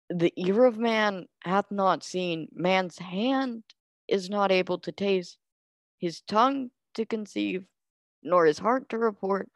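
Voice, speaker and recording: A bewildered, self-important workman from a classic stage comedy played by a man, declaiming with wonder. The delivery is very slow, recorded close and dry. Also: high-pitched, creaky, no room sound, exaggerated voice. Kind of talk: theatrical Shakespeare monologue.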